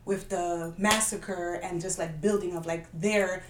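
A woman's voice: fairly low pitch, fairly even intonation, noisy background. Podcast woman talking history, lots of restarts, echoey room. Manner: explaining history haltingly, with restarts. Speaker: podcast co-host. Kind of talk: casual chat. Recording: roomy echo.

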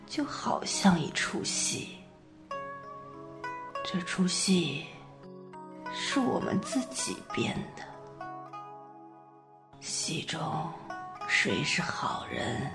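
A woman acting a tender, weary woman making peace with an old love in a radio play, hushed and deliberate. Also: low voice, big pitch swings, exaggerated voice. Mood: reflective, resigned. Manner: slow, hushed, deliberate, pausing between short phrases. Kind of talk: amateur radio drama reading of a stage play. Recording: zither music behind. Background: zither music.

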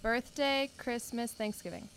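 A teenage girl playing a word game with friends, animated and self-mocking. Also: fairly high pitch, unhurried. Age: teens. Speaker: student editor. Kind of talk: casual game banter.

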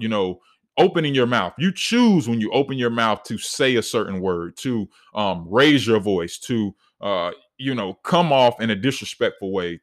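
A man in his thirties with a deep voice, hosting a solo podcast and talking earnestly, with many fillers and restarts. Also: a bit bright. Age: 30s. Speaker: podcast host.

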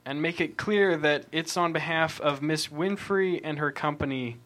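A man's voice: on the high side, moderate pace, fairly bright tone, natural voice. A man playing a firm, businesslike defense lawyer, curt and assertive, in a legal drama read. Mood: guarded, firm.

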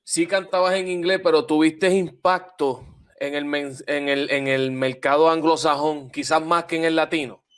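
Host guy, stammers a lot, smooth slightly high voice. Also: moderate pace. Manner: stammers and repeats words, relaxed. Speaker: podcast host. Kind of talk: casual chat.

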